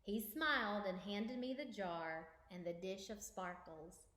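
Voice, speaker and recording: A woman reading a children's story aloud as expressive narration, deliberate and with dramatic pauses. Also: medium pitch. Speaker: storybook reader. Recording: echoey room.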